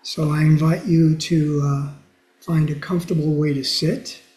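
A soft-spoken man with a low, quiet voice, teaching mindfulness and guiding a meditation gently, with pauses and fillers over a video call. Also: little pitch movement, roomy sound. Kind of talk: lecture and guided meditation.

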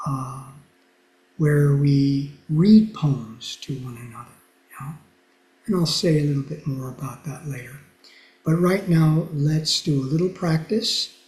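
Slow, soft-spoken man with roomy echo. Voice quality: soft-spoken, low volume. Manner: gentle, unhurried, many pauses and fillers. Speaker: mindfulness teacher. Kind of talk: lecture and guided meditation.

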